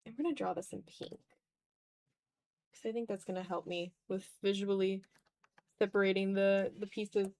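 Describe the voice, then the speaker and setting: A woman's voice: medium pitch, steady tone, moderate pace, long pauses, darkish tone. A woman hosting a casual art livestream, thinking aloud in a self-deprecating way as she draws. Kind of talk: casual chat while drawing on a livestream.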